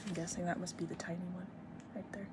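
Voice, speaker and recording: A woman's voice: lowish voice, little pitch movement, fast, very noisy. A woman in her twenties reading a picture book aloud expressively for young children, with teacherly emphasis and asides. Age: 20s.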